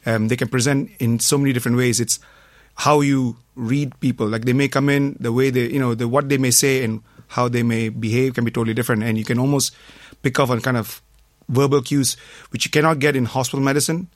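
A man's voice: mid-range voice, steady tone, brisk, no echo, studio quality. A middle-aged man from Malaysia, a doctor speaking hesitantly and modestly as a guest in a casual radio interview.

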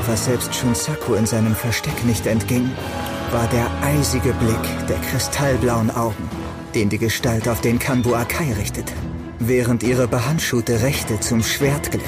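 A man reading scripted audio drama narration as the storyteller. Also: mid-range voice. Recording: loud music behind narration.

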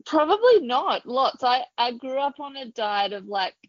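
An Australian woman in her thirties, a podcast guest who chats casually, laughs easily and fills pauses with ums. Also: sits high, very animated, no room sound, dull audio. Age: 30s. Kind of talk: casual interview chat.

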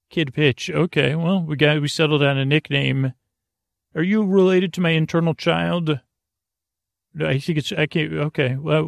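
A man with a gravelly voice hosting a sleep podcast, talking in a halting, meandering way, stammering and drifting into tangents. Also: mid-range voice, no echo, studio quality. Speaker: podcast host. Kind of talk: rambling bedtime monologue for a sleep podcast.

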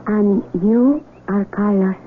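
A woman's voice: medium pitch, slow pace, natural voice. An actress playing an innocent, proud young village woman in a radio drama, with simple and formal phrasing. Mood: gentle curiosity. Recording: lo-fi, noisy old radio broadcast.

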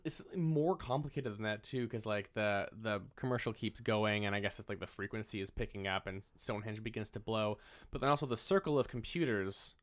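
A man's voice: on the low side, fairly bright tone, smooth. A man co-hosting a podcast, chatting casually about a film with wry, sweary interjections. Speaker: podcast co-host. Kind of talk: casual film recap chat.

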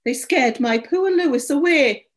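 A woman voicing a distraught, indignant story character, shrill, accusatory and pleading over her lost dog. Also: animated, unhurried. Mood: angry, accusatory.

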